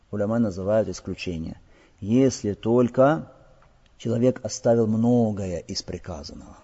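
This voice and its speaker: A man with a mellow, slightly husky voice teaches religious law in a steady lecture. He often checks in with his listeners through rhetorical questions. The recording is dull and lo-fi, with a long reverb trailing off the walls of a large hall. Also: lowish voice. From Crimea. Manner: recites passages in Arabic. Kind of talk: explaining hadith in a lecture.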